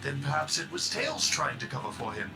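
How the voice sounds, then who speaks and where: An actor playing a deferential villain's henchman with a gravelly voice in a comic dub. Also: medium pitch, brisk. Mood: nervous, deferential. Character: deferential villain's henchman reporting to his boss.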